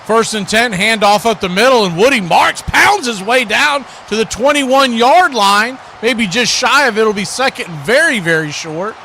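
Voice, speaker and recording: A man's voice: extremely high pitch, expressive, moderate pace, hardly any pauses, sharp tone. A man doing live radio play-by-play commentary of a football game as the announcer. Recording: clearly noisy live broadcast.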